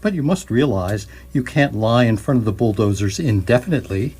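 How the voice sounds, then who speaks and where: A man's voice: medium pitch, expressive, brisk, a little mellow, husky. A man playing an officious, flustered bureaucrat in a comic radio play, patronizing, evasive and often hesitant. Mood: insistent. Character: officious, flustered male bureaucrat overseeing a house demolition.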